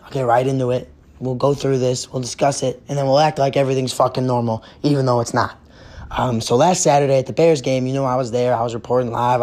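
A hoarse young man, hosting a casual sports podcast and ranting bluntly with lots of swearing while losing his voice. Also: a little high, darkish tone. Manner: profane, blunt, fired up.

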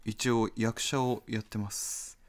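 A man's voice: on the low side, flat delivery, takes it slowly. A man playing a polite, bewildered struggling actor who talks hesitantly and incredulously in a radio drama. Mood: confused. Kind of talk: Japanese radio drama acting.

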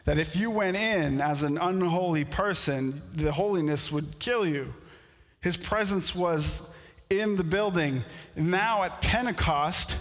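A pastor whose first language is French, preaching a sermon in a storytelling way that builds to animated outbursts. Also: male voice, a little high, unhurried, big-room sound. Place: church sanctuary.

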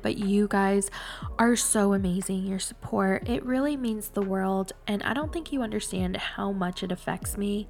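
A woman's voice: mid-range voice, vocal fry. A young adult woman with a nasal, congested voice hosting a casual, confessional solo podcast, speaking candidly and informally. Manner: candid, chatty, informal with swearing. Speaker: podcast host.

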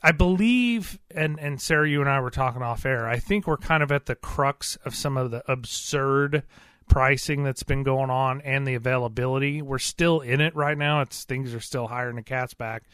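A man's voice: mid-range voice, normal speed, a bit bright, clear, resonant tone, dry recording, clean studio sound. A man co-hosting a radio talk show, chatting casually with folksy, colloquial turns of phrase.

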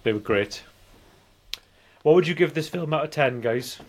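A man's voice: on the high side, expressive, moderate pace. A man in his forties chatting casually as a podcast host, rambling and often repeating himself. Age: 40s. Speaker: film review podcast host. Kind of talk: casual chat.

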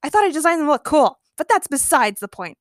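A woman's voice: high-pitched, sing-song, fast talker, no room sound, clean studio sound, voice pushed up. Woman putting on a whiny, melodramatic nerdy male villain. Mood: boastful, threatening. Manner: melodramatic, indignant proclaiming. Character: nerdy villain Nur, whiny megalomaniac man.

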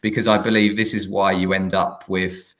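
Low-voiced man hosting a chat. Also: little pitch movement, normal speed, fairly bright tone. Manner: hesitant, many fillers and restarts. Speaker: podcast host. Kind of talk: casual interview chat.

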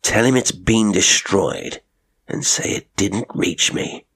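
A man voicing a stern, irascible army colonel in an audiobook, gravelly, curt and commanding. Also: deep voice, normal speed, heavily acted. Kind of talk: audiobook character voice.

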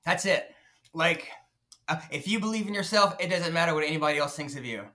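Bright-voiced man who stutters. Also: on the high side, brisk. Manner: stutters, many fillers and restarts. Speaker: podcast guest, nonprofit founder. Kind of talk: casual interview talk.

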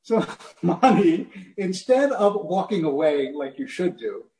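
A man's voice: sits high, big pitch swings, unhurried, mellow, a little hiss or hum. Man telling a story in an echoey room. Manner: conversational, chuckling asides. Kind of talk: sermon storytelling.

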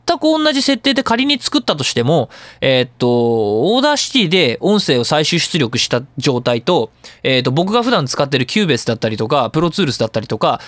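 A man's voice: on the high side, fairly bright tone, no echo. A man hosting a radio talk show, explaining things in a chatty, opinionated, colloquial way. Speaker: radio show host and audio engineer. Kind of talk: casual talk radio monologue explaining sound editing.

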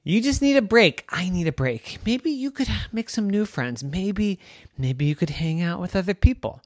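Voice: mid-range voice, very animated, normal speed, no room sound, studio quality, voice pushed up, lightly acted. A storyteller voicing an exasperated but kind young boy in a children's story. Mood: coaxing.

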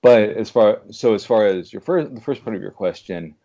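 A man speaking as a literary translator in a conversational interview, thoughtful and halting in a measured way. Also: lowish voice, animated, dark tone, dull audio. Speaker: podcast guest, literary translator.

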